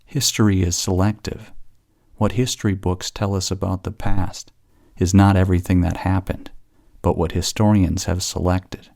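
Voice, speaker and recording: A man with a low-pitched voice reads study passages aloud. He phrases each sentence in a measured, deliberate way. The recording is dry, without room reflections. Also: level tone, creaky, darkish tone, no room sound. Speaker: narrator of English test practice passages. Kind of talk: scripted read-aloud narration.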